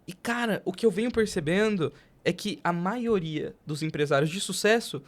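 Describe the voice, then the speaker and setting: A man's voice: high-pitched, normal speed. A Brazilian man speaking as a podcast host in a casual, reflective, colloquial way.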